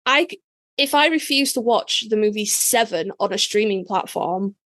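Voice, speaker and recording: A woman's voice: medium pitch, lively intonation, normal speed, fairly bright tone, dry recording. A woman in a casual podcast conversation who talks hesitantly, often restarting and repeating words. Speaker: podcast participant.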